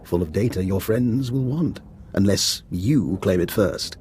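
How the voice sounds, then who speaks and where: A man's voice: lowish voice, measured pace, darkish tone, slight background noise, natural voice. A man playing a genteel, wry scientist with morbid interests in a video game, arch and understated. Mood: musing, sly.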